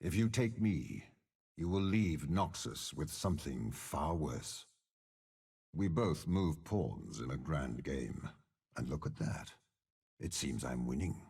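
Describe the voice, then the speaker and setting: Villainous game general, husky rasp, slow grandiose delivery, sweeping intonation, cold menace. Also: male voice, animated, darkish tone, heavily acted. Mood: cold taunting. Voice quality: deep gravelly rasp. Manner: slow, grandiose, measured, imperious. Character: commanding older male warlord general, cold, cunning, menacing. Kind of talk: video game character voice lines.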